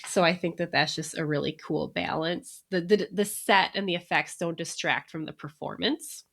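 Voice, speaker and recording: A woman's voice: on the low side, fairly even intonation, normal speed, vocal fry, fairly bright tone. A woman co-hosting a podcast in casual chat, talking easily and laughing often between remarks. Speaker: podcast co-host.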